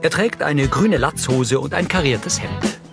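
Male narrator over loud music. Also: fairly high pitch, animated, unhurried, vocal fry. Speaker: audio description narrator. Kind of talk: scripted narration, present tense.

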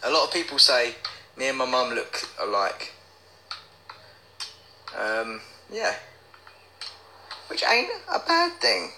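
A man's voice: mid-range voice, wildly swooping pitch, slow pace, pauses often, pushed and bright, a little hiss or hum, rough recording. A young man chatting casually on a livestream, reading out comments in a playful, silly manner. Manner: playful, silly, breaks into bits of song. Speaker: livestream host.

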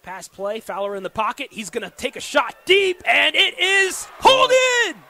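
An American man calling live football play-by-play on the radio with excitable, dramatic delivery. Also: very high voice, normal speed, vocal fry. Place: football stadium during a live game. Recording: noisy live stadium broadcast.

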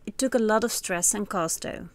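A woman's voice: mid-range voice, moderate pace, no echo. Dutch-accented woman narrating a sailing vlog. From Netherlands. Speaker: sailing vlogger. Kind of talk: scripted voice-over narration. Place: on a sailboat cockpit under a canopy, outdoors.

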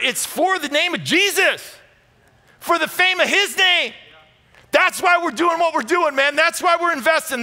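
A middle-aged American man preaching a conversational sermon, emphatic and animated, moving between loud bursts and hushed asides. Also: extremely high pitch. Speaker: pastor preaching. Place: large church auditorium stage with audience.

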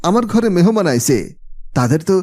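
A man's voice: high-pitched, very animated, brisk, dark tone. A man voicing a humble, devout poor village labourer in a cartoon, deferential and imploring. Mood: pleading. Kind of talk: cartoon voice acting.

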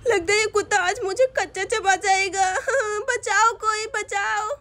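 A woman's voice: very high-pitched, moderate pace, bright, forceful tone. A woman voicing a frightened young woman in a cartoon story, crying out for help. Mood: tearful. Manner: shrieking, tearful.

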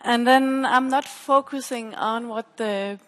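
Woman doing an academic talk, kinda high voice, explains and repeats bits, reverby hall. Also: fairly even intonation, takes it slowly. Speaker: PhD researcher presenting at a conference. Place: stage in a large dark auditorium. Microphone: headset. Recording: echoey hall with long reverb.

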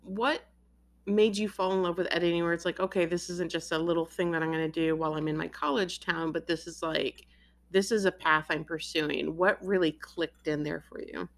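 Low-voiced enthusiastic woman interviewer. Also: fairly even intonation, fairly quick. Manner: enthusiastic, talks in long explaining runs. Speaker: interviewer, host. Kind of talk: casual interview chat.

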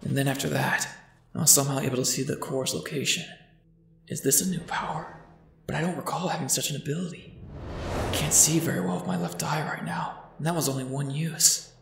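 A man's voice: medium pitch, brisk, creaky, a bit bright, reverberant, slight background noise. A man playing a curt, guarded young man in a fan dub, blunt and dry. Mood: guarded, brooding.

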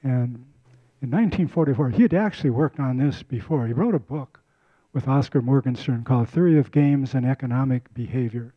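A man's voice: mid-range voice, fairly quick, dry recording, muffled recording. An older man giving a casual community lecture on a handheld mic, often repeating and restarting words. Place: lecture room.

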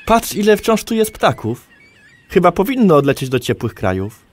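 A man's voice: high voice, big pitch swings, normal speed. An actor playing a curious, slightly skeptical man in a conversational audio drama, asking questions.

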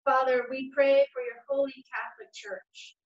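A woman with a slightly high voice leads liturgical prayers in a measured, steady cadence. She is recorded on a webcam in a small room at home. The sound is echoey and a little dull and lo-fi. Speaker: church worship leader.

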